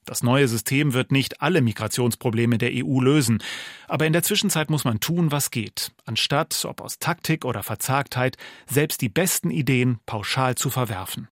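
A man reading out newspaper commentary in a measured, formal way. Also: medium pitch, dry recording, studio quality. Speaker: reader of press commentary. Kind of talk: formal reading of press quotes.

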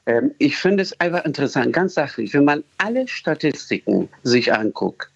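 A man's voice: mid-range voice, sing-song, normal speed, creaky, no echo, muffled recording. A man from Afghanistan calling a radio show, speaking earnestly and hesitantly with many fillers. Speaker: radio caller. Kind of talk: earnest call-in appeal.

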